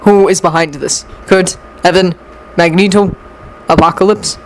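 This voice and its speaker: A woman voicing a stern, perplexed male professor with a measured, formal way of questioning. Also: fairly low pitch, steady tone, natural voice, low-quality recording. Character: stern, perplexed middle-aged male professor, leader of mutants.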